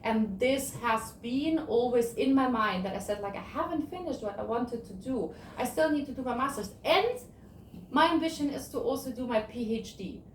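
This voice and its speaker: A woman in her thirties giving an animated motivational talk to an audience, acting out the conversations she tells. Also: a little high, moderate pace, slight background noise. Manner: animated storytelling, acts out dialogue. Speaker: guest speaker. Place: event space, sunset gathering. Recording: echoey room, distant mic.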